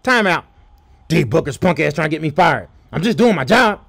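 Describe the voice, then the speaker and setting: Male comic for sports parody voiceover: exaggerated, slangy, swinging high and animated. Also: moderate pace, gravelly. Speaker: comedian voicing athletes and referees. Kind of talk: comedic voiceover dubbing over sports clips.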